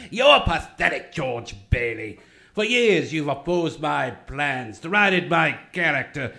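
Man as scornful old banker, cackling, highish voice, radio play. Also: very animated, slow, creaky, sharp tone, heavily acted. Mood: gloating. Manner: mocking, cackling, contemptuous. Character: greedy, sneering old male banker. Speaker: community theatre actor. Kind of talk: amateur radio play acting.

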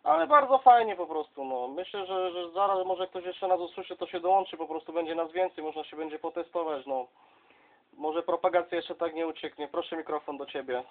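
High-pitched man chatting on CB radio. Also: a little fast. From southern Poland. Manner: chummy, addresses others as colleague. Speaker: CB radio operator. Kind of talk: casual radio contact chat. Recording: dull, lo-fi sound.

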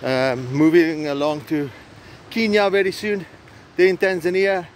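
A middle-aged South African man, an Afrikaans speaker, talks slowly into a handheld phone while out on a coastal trail. He hesitates often and restarts his sentences. Faint outdoor noise sits behind his voice. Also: a little high, level tone. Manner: slow, halting, with many fillers and restarts. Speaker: vlogger host. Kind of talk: casual outdoor vlog narration. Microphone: phone camera held by hand.

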